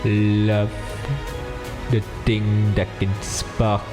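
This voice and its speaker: A man reading a visual novel aloud for a let's play, slow and dramatic, stopping to joke with a friend. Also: on the low side, monotone, long reverb tail, over music. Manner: reads slowly with pauses, breaks off to joke and laugh.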